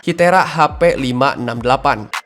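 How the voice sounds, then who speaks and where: A man's voice: medium pitch, normal speed. A man reading a scripted product countdown in a presenter-like way, addressing viewers informally. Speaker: product review narrator. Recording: music behind the voice-over. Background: music.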